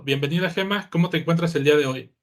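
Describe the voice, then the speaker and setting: A man's voice: fairly high pitch, fast talker, music in the background. A man hosting a podcast, greeting his guest in an upbeat way. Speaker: podcast host. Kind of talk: sponsor read and greeting.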